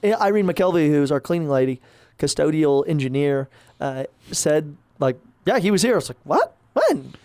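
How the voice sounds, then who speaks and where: A man's voice: a little high, very animated, moderate pace, darkish tone, dry recording. A man, a parish priest chatting casually on a podcast, rambling with lots of fillers and restarts.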